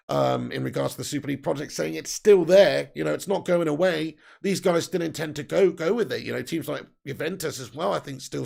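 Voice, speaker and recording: A young man hosts a football fan live stream, reading out chat comments and ranting with plenty of swearing. His voice sits a little high for a man, yet it is croaky and deeply gravelly from tiredness. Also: darkish tone. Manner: heated, sweary ranting with laughs. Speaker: football fan channel host.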